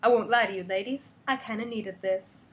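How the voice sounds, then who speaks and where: A woman casually retelling a story in a goofy, slangy, animated way, as if to a friend. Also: mid-range voice, normal speed. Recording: noisy webcam recording.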